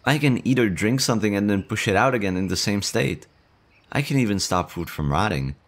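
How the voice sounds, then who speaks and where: Nervous male slime monster, played by a man, stammers, anxious, low voice, soft birdsong behind. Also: lively intonation, fairly quick. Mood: shy. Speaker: voice actor. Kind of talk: audio roleplay.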